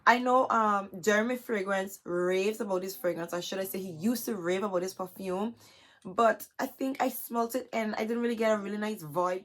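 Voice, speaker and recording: A young adult woman with a hoarse, scratchy, chesty voice, chatting casually to camera as a vlogger and filling her talk with "you guys". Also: mid-range voice, fairly bright tone. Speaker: beauty vlogger. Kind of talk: casual haul chat to camera.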